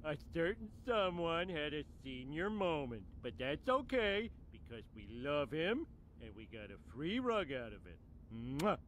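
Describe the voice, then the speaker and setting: A man's voice: a little high, sing-song, measured pace, fairly bright tone, slight background noise. An actor playing a grumpy, lazy middle-aged cartoon father who mutters sarcastic complaints. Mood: smug.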